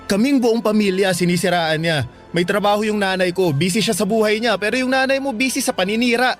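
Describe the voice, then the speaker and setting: A man's voice: high-pitched, brisk, faint music behind, close to an everyday voice. A man acting as a blunt, exasperated young man in a radio drama, dropping English slang into his speech.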